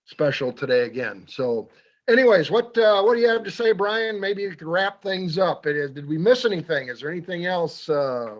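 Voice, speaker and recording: A man's voice: high-pitched, expressive, normal speed, dull audio. A man hosting a hunting radio show, talking casually and reading a sponsor special with lots of fillers. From Iowa. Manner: folksy, filler-heavy delivery. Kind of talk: casual radio talk with an ad read.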